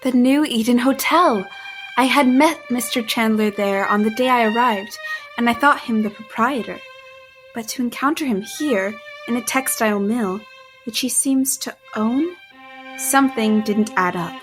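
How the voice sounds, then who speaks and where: A woman's voice: fairly high pitch, moderate pace, over music, natural voice, low-quality recording. An actress playing an earnest, troubled young woman in a period audio drama, with careful, formal, literary phrasing. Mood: tense, haunted. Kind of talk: dramatic first-person narration and period drama acting.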